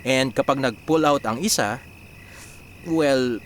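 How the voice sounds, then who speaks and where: An actor with a Filipino accent playing a confident, driven business strategist in a radio drama, explaining things and mixing in English business phrases. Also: male voice, medium pitch, slow, fairly bright tone, slight background noise. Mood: confident, persuasive. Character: confident, driven young male business strategist pitching a plan.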